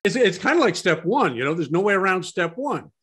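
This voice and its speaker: A man's voice: high-pitched, big pitch swings, a little fast, a crowd in the background. A man co-hosting a podcast discussion, emphatic and given to repeating key phrases. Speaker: podcast co-host.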